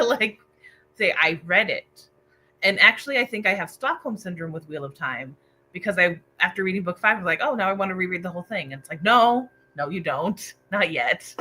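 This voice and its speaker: A woman hosting a casual book livestream, excitable and chatty as she reacts to viewer comments. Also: mid-range voice, slightly rough. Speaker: book discussion livestream host.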